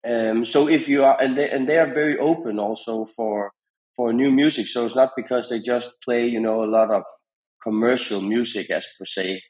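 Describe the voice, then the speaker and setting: Halting man giving interview advice. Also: mid-range voice, fairly even intonation, darkish tone, poor audio. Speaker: podcast guest, founder of a radio monitoring company.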